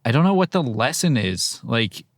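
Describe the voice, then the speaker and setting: A man with a gravelly, creaky voice of middling pitch co-hosts a podcast. He talks casually and wryly in halting bursts, on a dry, close recording. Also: very animated, dry recording. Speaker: podcast co-host. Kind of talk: casual chat.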